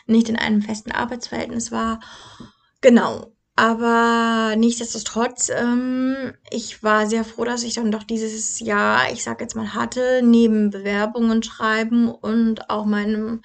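A woman's voice: on the high side, vocal fry. A young woman hosting her own podcast, talking in a casual personal monologue, hesitant with many fillers and restarts. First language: German. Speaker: podcast host.

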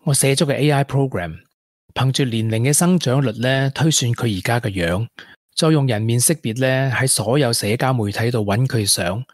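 An actor playing a regretful former tech prodigy in a radio drama, with a Hong Kong accent and earnest delivery. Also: male voice, medium pitch, moderate pace, dry recording, clean studio sound, lightly acted. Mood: wistful. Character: regretful young ex-tech prodigy, confessing to a woman. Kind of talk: Cantonese radio drama acting.

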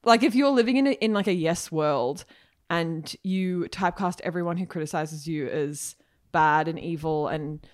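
A woman's voice: low-pitched, normal speed. A woman from Ireland with an Australian accent, a guest journalist talking in a rambling, chatty way full of fillers. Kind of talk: casual podcast chat.

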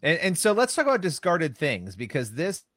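A man's voice: on the high side, huge pitch swings, moderate pace, a bit bright, dry recording, studio quality. A man hosting a faith-based interview, affirming and enthusiastic with his guest. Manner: affirming, enthusiastic, many fillers. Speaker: interview host.

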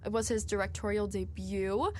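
A young woman from New Jersey chats about films she has seen, animated and full of fillers. Her pitch is mid-range. A faint hiss sits under the recording. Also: moderate pace. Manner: chatty, animated, says like a lot. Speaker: podcast co-host. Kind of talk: casual film review chat.

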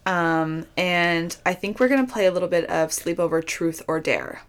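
A woman's voice: lowish voice, normal speed. A young woman co-hosting a podcast in casual chat, talking loosely with lots of "like" fillers. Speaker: podcast co-host.

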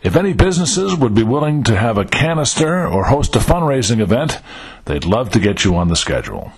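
A man's voice: mid-range voice, big pitch swings, a little fast, husky. A man reading a scripted charity announcement. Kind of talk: scripted charity appeal read.

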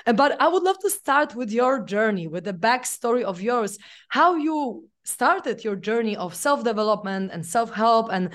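A woman's voice: a little high, lively intonation, moderate pace. A woman hosting a podcast interview, upbeat and enthusiastic in a casual chat. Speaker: podcast host.

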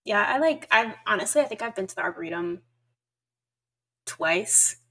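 A woman's voice: mid-range voice, lively intonation, normal speed, sharp tone. A woman in her twenties co-hosting a podcast, telling stories in a chatty way full of fillers. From United States. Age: 20s. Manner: chatty, filler-heavy storytelling. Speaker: podcast co-host. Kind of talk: casual chat.

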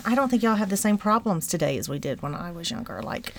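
A woman's voice: medium pitch, expressive, fast. A middle-aged woman from Alabama, chatting casually and joking on a podcast as her daughter's guest. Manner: joking, teasing. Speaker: guest, host's mother.

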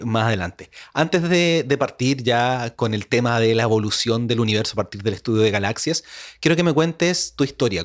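A man hosts an interview podcast and talks quickly in a voice of middle pitch. He is chatty and eager and thinks aloud as he frames his questions. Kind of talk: conversational interview.

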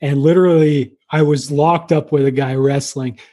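A man's voice: fairly high pitch, steady tone, moderate pace, dark tone. A man co-hosting a podcast, reading an enthusiastic intro and chatting casually with guests, from Canada. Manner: enthusiastic, repetitive emphasis. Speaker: podcast co-host.

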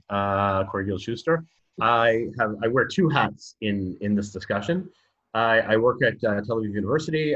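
A Canadian man with a lowish voice talks through a podcast interview. He hesitates and restarts often, then warms into lively storytelling. The audio sounds a little dull and muffled. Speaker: guest, university programme director.

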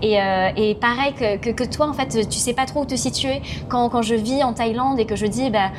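A woman's voice: fairly high pitch, moderate pace, noisy background. A woman from France hosting a casual podcast, restarting her sentences often. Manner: stammering restarts, laughs easily.

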